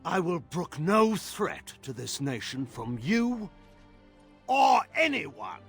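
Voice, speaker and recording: An actor playing an imperious, unyielding lord in a fantasy video game, speaking in a grand, stern, declamatory way. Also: male voice, high voice, big pitch swings, takes it slowly, gravelly, fairly bright tone, soft background music, exaggerated voice. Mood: threatening. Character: imperious, unyielding male lord commanding a realm. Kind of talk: dramatic fantasy game acting.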